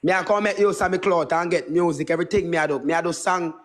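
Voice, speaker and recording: A man's voice: a little high, steady tone, quick pace. A Jamaican man in his twenties who speaks Patois in a brash, boastful, repetitive way, ranting casually on a livestream. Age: 20s. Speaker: livestream host.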